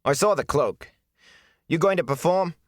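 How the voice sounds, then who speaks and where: A man's voice: on the high side, expressive, moderate pace, dry recording, clean studio sound, voice pushed up, lightly acted. A man voicing a curt young bathhouse attendant who talks abruptly, with a mumbly, mushy way of speaking. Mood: scornful. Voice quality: mumbly, as if with a mouthful of mush. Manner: abrupt, scornful.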